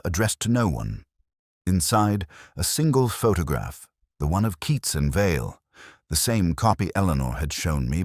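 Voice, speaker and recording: An extremely deep male voice of an actor tells a detective story in the first person as a brooding police inspector. The delivery is solemn and literary, with measured phrasing and a widely moving melody. The sound is dry, in the manner of a reflective audio drama. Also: darkish tone, slightly rough, dry recording, exaggerated voice.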